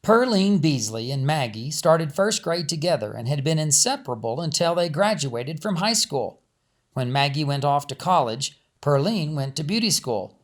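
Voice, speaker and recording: A man, an author reading his own storybook and chatting casually around it. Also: on the high side. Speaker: author and narrator. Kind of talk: storybook narration and casual chat.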